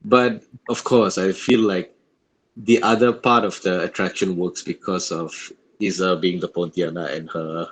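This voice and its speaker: A man's voice: deep voice, steady tone, measured pace, slightly rough, low-quality recording. A man, an actor being interviewed, talking reflectively and conversationally about his work.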